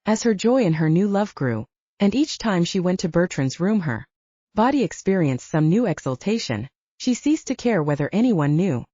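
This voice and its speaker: A woman's voice: fairly low pitch, very animated, normal speed, mellow, no room sound, muffled recording. A woman reading literary fiction as a scripted audiobook narration, moving between story and dialogue.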